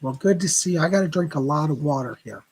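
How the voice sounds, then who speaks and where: A man hosting a live psychic reading stream, hoarse from laryngitis, chatting casually and clearing his throat often. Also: fairly high pitch, lively intonation, fast talker, a little mellow, slight background noise. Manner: chatty, greets viewers by name, keeps clearing his throat.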